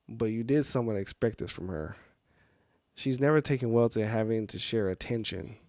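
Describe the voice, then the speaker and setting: A man's voice: medium pitch, dark tone, dry recording, studio quality. A man streaming a game, reading the story aloud and dropping in casual reactions. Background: music.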